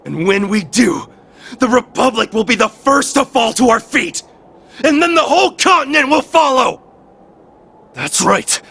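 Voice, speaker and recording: Fanatical game villain: high, gravelly voice that swings wildly, defiant militarist ranting. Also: male voice, a bit bright, full character voice. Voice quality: raspy, strained. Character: fanatical warmongering male military loyalist villain. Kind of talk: anime-style video game voice acting.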